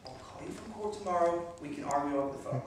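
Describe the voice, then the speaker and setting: A man's voice: on the high side, fast, background noise, natural voice. A man playing a dry, sleepy young husband. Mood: wry. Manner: wry, deadpan, then exasperated. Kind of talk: classic film acting. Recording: echoey film soundtrack from a distance.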